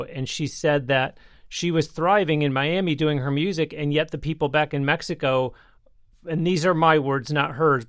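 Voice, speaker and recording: A man's voice: a little high, no room sound, clean studio sound. A man hosting an interview, asking long, carefully framed follow-up questions. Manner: measured, long framing questions. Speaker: interviewer, host.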